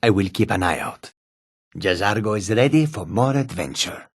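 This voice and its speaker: An actor playing a sly, menacing, proud humanoid cat warrior in a fantasy video game, with a husky, raspy voice and deliberate delivery. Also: male voice, on the low side, animated, dry recording, studio quality. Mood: loyal, dutiful. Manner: slow, deliberate, often speaks of himself in third person.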